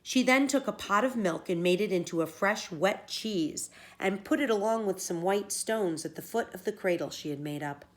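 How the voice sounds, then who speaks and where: A woman's voice: medium pitch, lively intonation, moderate pace, hardly any pauses. A woman teacher reading a story aloud to children, expressively and with explanation.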